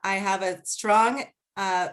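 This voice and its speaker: A woman with a Boston accent speaking as a guest researcher on a video-call panel, earnest and measured, laughing easily during questions. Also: medium pitch, steady tone, fairly bright tone. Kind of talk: academic panel talk and Q&A.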